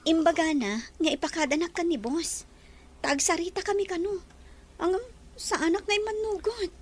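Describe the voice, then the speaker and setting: Shy young working woman for radio drama, played by an actress: very high voice, humble, hesitant, deferential. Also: moderate pace. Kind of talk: Ilocano radio drama acting.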